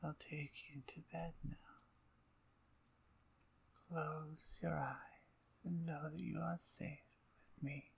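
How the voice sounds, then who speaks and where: A woman voicing a dominant, possessive female vampire in a soft, intimate ASMR roleplay, slow and breathy with whispers. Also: sits low, flat delivery, heavily acted. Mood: tender, protective. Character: dominant, possessive, playful female vampire, tender toward her pet.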